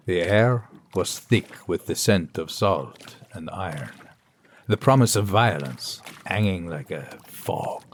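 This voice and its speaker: A man's voice: low voice, slightly rough, full character voice. A man playing a brooding, awestruck professor, narrating a sea voyage in solemn, dramatic first person. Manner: solemn, dramatic, hushed intensity. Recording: noisy sea and boat ambience.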